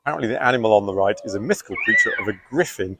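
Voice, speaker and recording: A man's voice: lowish voice, wildly swooping pitch, rapid-fire, very noisy. A man hosting a video, narrating a script with a playful, jokey delivery. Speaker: video host.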